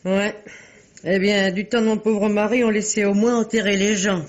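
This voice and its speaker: Une actrice qui joue, dans une dramatique policière radiophonique, une bonne veuve et âgée, revêche, sarcastique et brusque. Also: female voice, medium pitch, level tone, moderate pace, close to an everyday voice. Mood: grumbling. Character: sharp-tongued, grumbling older widowed maid. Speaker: actress in a radio mystery play. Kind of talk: French radio mystery drama acting, 1950s manner. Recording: dull vintage radio recording.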